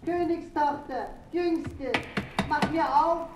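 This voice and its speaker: An actress playing a talking frog in a fairy-tale radio play, insistent and demanding, with deliberate delivery. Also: female voice, high voice, background noise. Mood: calling, pleading.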